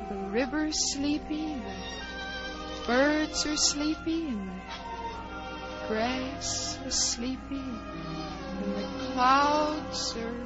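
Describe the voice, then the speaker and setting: Curious, dreamy young girl, high and very lively, chattering to herself, vintage radio drama. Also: full character voice. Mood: bored, sleepy. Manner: wondering aloud to herself. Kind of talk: 1940s radio acting. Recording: muffled old 1940s radio recording.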